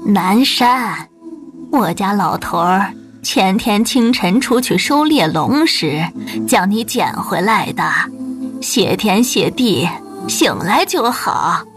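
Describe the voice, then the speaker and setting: An old country granny, put on by a male narrator, caring and gently teasing. The words come slowly and drawn out, swinging up and down as she fusses, with music underneath, in an audiobook style. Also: sits high, big pitch swings, pitched above the performer's own voice, full character voice. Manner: slow, drawn-out, fussing speech. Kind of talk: audiobook character acting.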